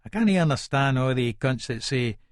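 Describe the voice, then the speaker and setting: A male actor plays a volatile Edinburgh hard man telling his own story in a dramatised audiobook. His broad Scottish speech is aggressive, colloquial and thick with swearing, sitting at a middling pitch. The sound is close and dry. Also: lively intonation, normal speed, no echo, close to an everyday voice. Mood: irritable, wary. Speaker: audiobook narrator in character. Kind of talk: first-person dramatised audiobook monologue.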